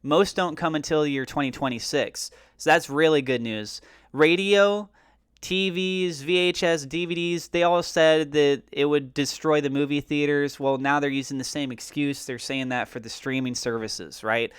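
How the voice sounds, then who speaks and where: A man's voice: on the high side, steady tone, normal speed, fairly bright tone, clear, resonant tone, dry recording, studio quality. A man giving a casual recap as a finance YouTuber, speaking in an informal, emphatic way and correcting himself as he goes. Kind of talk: casual recap commentary over notes.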